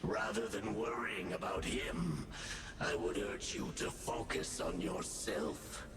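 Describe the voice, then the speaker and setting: An actor playing an arrogant, menacing monster from the abyss in a video game, speaking in a theatrical, pompous, deliberate way. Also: male voice, low-pitched. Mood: cold menace. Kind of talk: video game villain acting. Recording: echoing game audio over music.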